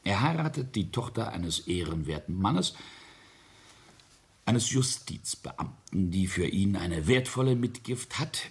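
Radio drama: cold, bitter self-made patriarch, deep rough husky voice, deliberate storytelling. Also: male voice, sing-song, exaggerated voice. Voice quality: husky, low. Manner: deliberate, pointed, pausing storyteller. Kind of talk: German radio drama acting.

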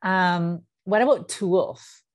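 A woman co-hosts a podcast with an informal, chatty delivery. She speaks slowly, in a somewhat low voice for a woman. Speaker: podcast co-host. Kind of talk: casual podcast chat.

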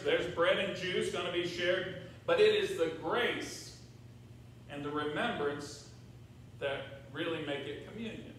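A man's voice: high-pitched, fairly bright tone. Older male American pastor, conversational and emphatic, recorded from afar in a sanctuary with faint noise. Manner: conversational, rhetorical questions with check-ins to congregation. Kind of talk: sermon. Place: large church sanctuary, at pulpit. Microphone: far camera in the room. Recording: very echoey church, distant mic, faint noise.

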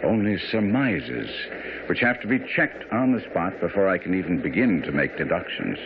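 An actor playing a shrewd, self-assured gentleman detective in a radio drama, measured, precise and courteous. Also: sits low, very animated, dull audio. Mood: analytical. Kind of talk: 1970s radio drama acting. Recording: thin, noisy old radio recording.

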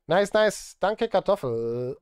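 A man's voice: high voice, sing-song, takes it slowly, a little mellow, clear, resonant tone, no echo, studio quality. A man in his thirties chatting casually on a live stream while he works, rambling and muttering to himself in a self-deprecating way. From Switzerland. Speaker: live streamer and film composer. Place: indoor desk at a streaming setup.

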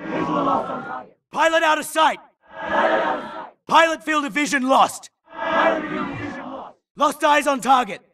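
An actor playing a tough, gruff infantry soldier in a video game, calling out clipped, urgent combat callouts. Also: male voice, very high-pitched, normal speed, distant mic, a loud crowd, very noisy. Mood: tense, searching. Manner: clipped, shouted military callouts. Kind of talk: military battle barks.

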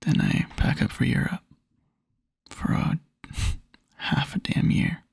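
A man playing a tender, wistful young boyfriend in an intimate ASMR roleplay, murmuring softly and haltingly in a husky near-whisper. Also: no echo, clean studio sound, lightly acted. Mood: amused, sleepy. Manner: soft, halting, intimate murmur with small chuckles. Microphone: close microphone, dry.

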